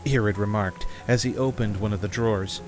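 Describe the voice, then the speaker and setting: A man's voice: fairly low pitch, brisk. Male story narrator over music.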